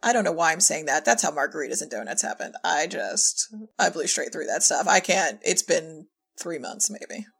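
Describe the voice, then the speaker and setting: A woman's voice: deep voice, lively intonation, normal speed, creaky, hardly any pauses, a bit bright, slightly rough. A woman chatting casually as an audio drama creator, telling anecdotes and acting out quoted remarks in an informal way. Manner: informal, quotes herself and others conversationally. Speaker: audio drama writer-director in conversation. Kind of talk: casual chat, anecdotal storytelling.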